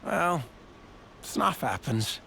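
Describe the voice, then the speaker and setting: A man's voice: fairly high pitch, big pitch swings, drawn-out words, gravelly, noisy background, heavily acted. A man voicing a blunt, easygoing colony soldier in a video game, casual and plain-spoken. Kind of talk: video game cutscene dialogue. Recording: game cutscene with music score.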